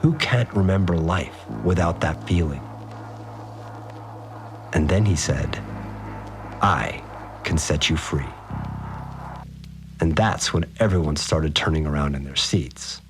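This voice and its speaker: A man's voice: extremely low pitch, takes it slowly, pauses often. An actor playing a slangy, rattled fixer who tells his story informally in an audio drama. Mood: uneasy. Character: rattled, slangy male fixer recounting events. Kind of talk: first-person audio drama monologue. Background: music.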